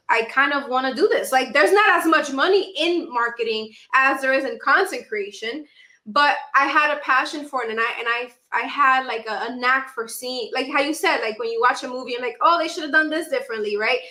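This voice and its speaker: A young woman with a high, bright voice speaks as a guest in a casual interview. She tells her story in an animated, conversational way, acting out things she has said. The sound is roomy, with noticeable echo. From Dominican Republic and New York City. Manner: animated storytelling, acts out her own past remarks. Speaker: podcast guest, actress and content creator.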